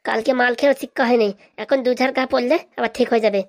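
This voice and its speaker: A woman's voice: a little high, steady tone, very fast, no echo, clean studio sound, close to an everyday voice. A woman voicing a bossy little cartoon boy who leads a gang and bullies others with a childish lisp. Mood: scolding. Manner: bossy, threatening, scolding. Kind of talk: comic cartoon dialogue.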